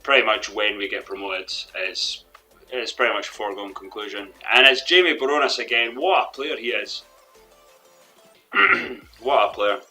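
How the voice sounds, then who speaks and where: A young man commentating his own football management game in a rambling, hesitant way, his voice a little hoarse from losing it. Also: on the low side, moderate pace, bright, forceful tone. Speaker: gaming commentator. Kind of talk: let's play commentary. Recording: faint background music.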